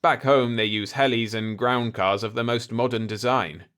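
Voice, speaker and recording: Guy reading as a calm shrewd diplomat in a sci-fi story, dry and sure of himself. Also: medium pitch, normal speed, clean tone, natural voice. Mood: firm, informative. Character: unflappable, shrewd male space diplomat. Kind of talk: dialogue in a sci-fi audiobook.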